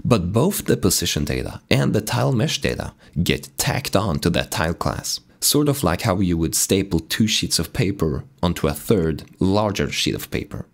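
A man with a very low, raspy voice, hoarse from being sick, talks through code in a steady tutorial. His pitch moves around a lot as he explains each step, keeping the delivery lively despite the rasp. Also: normal speed, hardly any pauses. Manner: calm explanatory walkthrough. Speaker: game dev tutorial host. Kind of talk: screen-recorded coding tutorial narration.

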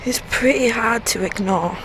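A woman playing a sleepy, loyal young sister in a radio drama, with a drowsy, gentle manner. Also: on the high side, moderate pace, slight background noise, close to an everyday voice, rough recording. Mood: drowsy, fond. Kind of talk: radio play acting.

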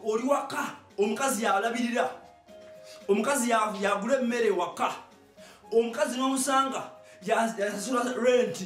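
A Ugandan man performs a comic monologue at a very quick pace, exclaiming and repeating phrases for emphasis. He is picked up from a distance in a roomy, echoing studio, with some music behind him. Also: extremely high pitch, fairly bright tone. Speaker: online comedy show host. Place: small indoor studio with hard walls. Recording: echoey room, distant microphone, music.

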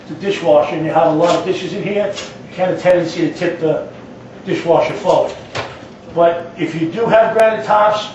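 A man giving a plain-spoken, step-by-step hands-on plumbing demonstration as an instructor. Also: high-pitched. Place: indoors in a home kitchen. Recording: roomy echo, distant mic, dull lo-fi, noisy.

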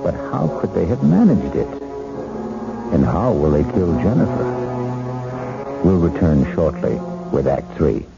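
Deep, creaky, theatrical radio mystery host, male. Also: big pitch swings, slow, pauses often. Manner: theatrical, suspenseful, rhetorical. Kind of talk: dramatic scripted 1970s radio narration. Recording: muffled 1970s radio broadcast with music.

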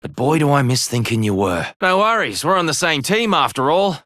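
A man's voice: a little high, big pitch swings, fairly quick, a bit bright, no echo, studio quality. A man voicing a cheeky middle-aged brawler in a video game, with an Australian accent and sardonic, bantering delivery. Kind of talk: video game character voice lines.

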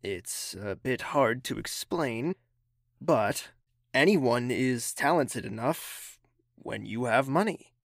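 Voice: medium pitch, expressive, slow, dry recording, studio quality. An actor playing a haughty, impatient young royal prince in an audio drama, clipped and condescending. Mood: relaxed, familiar.

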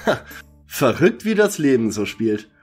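A man's voice: mid-range voice, sing-song, a little slow, darkish tone, husky, faint music behind. A man playing a bookish young detective, the junior of a trio, in a parody radio play. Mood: earnest.

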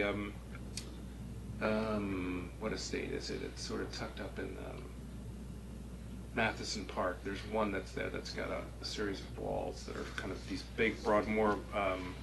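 A man speaking as a review board member, giving halting, hedged critique as he thinks aloud at a meeting. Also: fairly low pitch, vocal fry. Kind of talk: spontaneous critique at a public meeting. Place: small meeting room, indoors. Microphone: distant room camera. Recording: noisy meeting-room recording.

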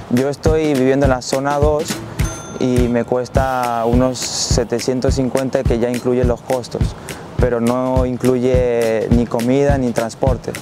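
A young man, explaining things steadily in a street interview on a lapel mic. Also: mid-range voice. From Paraguay. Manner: measured, explanatory.

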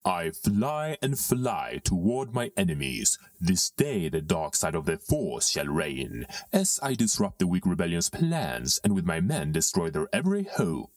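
Guy doing a menacing dark lord villain, very low voice, grand shakespeare verse, big swings in pitch. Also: very animated, a little slow, hardly any pauses, no echo, studio quality, far from the mic. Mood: menacing, triumphant. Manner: grandiose, commanding. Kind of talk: dramatic reading in Elizabethan verse.

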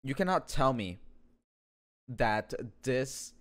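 A man's voice: mid-range voice, expressive, slow pace, clear, resonant tone. A young adult man giving casual, joking reaction commentary as a streamer, slangy, sweary and rambling, close on a microphone in a room at home. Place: small room indoors at home. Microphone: close boom-arm microphone with headset. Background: game trailer music.

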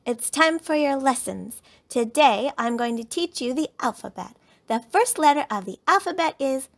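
Woman as a high, bossy six-year-old bear cub. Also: animated, moderate pace, creaky, full character voice. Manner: commanding, schoolmarmish childish delivery.

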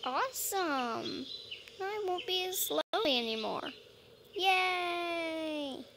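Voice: very high-pitched, expressive, slow, background noise. A child hosting a gaming video, chatting casually and playfully in character while playing. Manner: playful, talking to self in character. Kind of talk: casual role-play game commentary. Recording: music behind the voice. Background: game music.

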